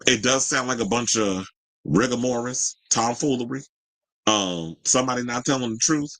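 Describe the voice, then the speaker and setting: Casting: American male gossip host, bright forceful voice, sassy and colloquial, moving between read copy and off-the-cuff commentary. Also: medium pitch, moderate pace, low-quality recording. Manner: sassy, colloquial, exclamatory asides. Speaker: host of a celebrity news and gossip show. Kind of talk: casual gossip commentary mixing read-out news with ad-libbed asides.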